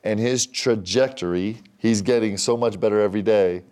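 Male coach, lowish voice, enthusiastic and articulate. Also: a little mellow, clear, resonant tone. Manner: enthusiastic, articulate, speaks fast when praising. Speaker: basketball coach. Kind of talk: casual interview chat.

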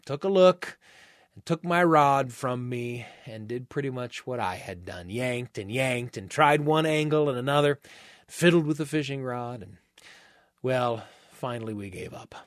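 Guy hosting a story show, expressive measured telling, pauses a lot, really dry studio mic. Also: medium pitch, no echo. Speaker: radio storytelling host. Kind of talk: warm-up intro and personal storytelling narration.

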